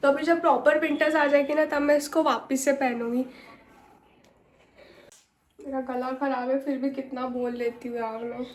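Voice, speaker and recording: A woman's voice: sits high, fairly even intonation, normal speed, pauses often, slight background noise. A young woman from India chatting to camera at home in a rambling vlog, her voice a little off from a sore throat. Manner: chatty, rambling, many fillers. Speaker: vlogger. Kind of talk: casual chat to camera.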